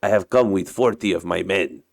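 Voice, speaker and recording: A man voicing a tall, bearded, haughty Russian sea captain with a Russian accent, speaking slowly and deliberately in a storybook reading. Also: deep voice, creaky, no room sound, clean studio sound. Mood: formal.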